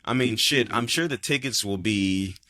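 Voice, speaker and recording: A man's voice: lowish voice, moderate pace, bright, forceful tone, no room sound, studio quality. A man in his thirties hosting a podcast in casual chat, rambling and cursing freely, often trailing off mid-sentence. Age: 30s. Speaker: podcast host.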